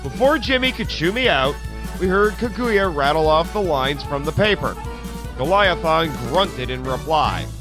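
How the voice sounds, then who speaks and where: Expressive man narrating an adventure story. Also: a little high, a little slow, over music. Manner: theatrical, expressive. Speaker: podcast host narrating an audio story. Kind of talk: first-person scripted story narration with acted dialogue.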